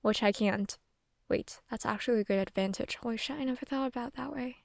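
A woman's voice: on the high side, quick pace, vocal fry, no echo, studio quality. A young adult woman playing a sardonic, flustered, self-deprecating young woman who rambles and swears casually in an audio roleplay monologue. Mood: exasperated, joking.

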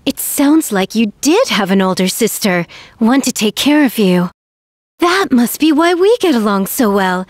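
A woman's voice: fairly high pitch, big pitch swings, a little slow, no echo, studio quality. A woman voicing a polite, earnest young princess warrior in an anime game. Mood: warm, earnest.

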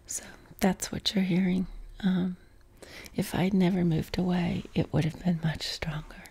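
Whispering woman with a soft Appalachian accent. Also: fairly low pitch, fairly even intonation, gravelly, slightly rough. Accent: softened Appalachian. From West Virginia. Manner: unvoiced whisper, unhurried with many ums. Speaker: ASMR creator. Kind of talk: whispered Q&A chat. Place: living room, seated on a couch. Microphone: close mic, camera framed on torso.

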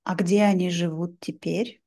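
A woman's voice: on the low side, fairly even intonation, moderate pace, mellow. A woman in her thirties hosting a simple conversational interview for language learners, recorded at home on a desk microphone. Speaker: host, interviewer. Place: indoor room at home, video call.

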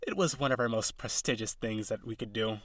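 A man acting as an eager, awkward, gentle male harpy in an audio roleplay, speaking straight to the listener with hesitant, dramatic delivery. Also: fairly low pitch, fairly quick, dry recording, clean studio sound. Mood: eager, friendly. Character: eager, nervous, awkward young male harpy courting a human.

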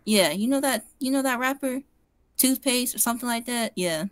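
A woman's voice: fairly high pitch, moderate pace, dry recording, studio quality, lightly acted. A young woman playing a skeptical, teasing character in an improvised game roleplay, wry and slangy.

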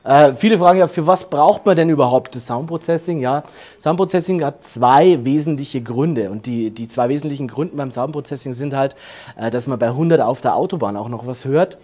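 A man in his forties, hoarse from a cold, explaining radio station technology in a chatty way with lots of fillers and laughs. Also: medium pitch, fairly quick, warm tone. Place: indoor room, then server room with air conditioning. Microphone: handheld recorder.

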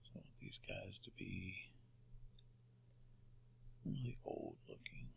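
A man teaching digital painting in a quiet, unhurried screen-recorded tutorial, often dropping to near a whisper as he works. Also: low-pitched, gravelly, a little hiss or hum. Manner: unhurried, talks while working.

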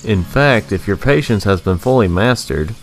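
A man's voice: lowish voice, expressive, normal speed, faint music behind. A man reading a scripted, rhyming video game review in a sarcastic, sing-song manner.

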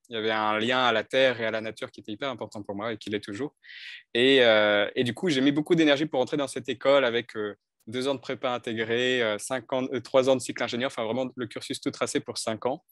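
A man's voice: medium pitch, fairly even intonation, quick pace, fairly bright tone, no room sound, studio quality. A man in his thirties from northern France, a coach telling his life story in a video call interview, thoughtful and hesitant with many restarts. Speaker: coach and speaker. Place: bright indoor room. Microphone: headset on video call.

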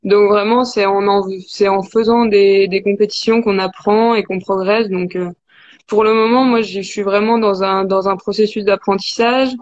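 Casting: young woman athlete, informal interview answers, medium pitch, dull video-call sound. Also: fairly even intonation, normal speed. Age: 20s. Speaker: athlete being interviewed, cross-country skier. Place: indoors in a wood-panelled room. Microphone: video call, device at arm's length.

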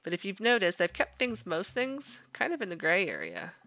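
A woman's voice: medium pitch, huge pitch swings, normal speed, sharp tone, no echo. A woman art instructor narrating a drawing demonstration in an informal, encouraging, conversational way. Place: voice-over to a screen recording.

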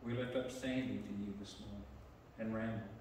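A middle-aged man leading prayer as pastor, plain-spoken with some halting fillers, heard from a pulpit mic in a large church sanctuary. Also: fairly low pitch, steady tone, darkish tone, slight background noise. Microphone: pulpit mic, camera far back. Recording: echoey sanctuary from far off.

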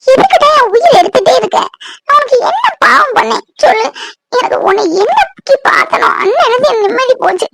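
An actress playing a bitter, tearful young bride in a serial, scolding curtly. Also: female voice, extremely high pitch, heavy background noise. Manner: scolding, curt, emotional. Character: bitter, tearful young bride who scorns her husband. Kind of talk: Tamil TV serial acting.

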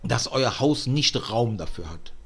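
A man's voice: medium pitch, expressive, a little slow, vocal fry, slightly rough, faint music behind, full character voice. Man playing a courteous, persuasive royal servant.

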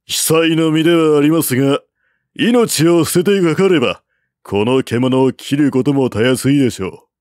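A man's voice: on the high side, moderate pace, a little mellow, no echo, studio quality, voice pushed up, close to an everyday voice. A man voicing a bold, boastful warrior hero of ancient China in a storybook reading, resolute, formal and declamatory.

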